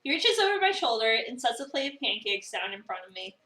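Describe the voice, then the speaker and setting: A woman's voice: a little high, big pitch swings, fast talker, pushed and bright. A woman giving a dramatic narration of a visual novel, mixed with casual chat. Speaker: streamer reading a visual novel aloud. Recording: roomy, distant mic, music behind.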